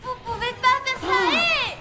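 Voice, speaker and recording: A woman voicing a plucky, defiant young boy hero in a cartoon, excitable and earnest. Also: extremely high pitch, unhurried. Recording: roomy echo, faint music underneath.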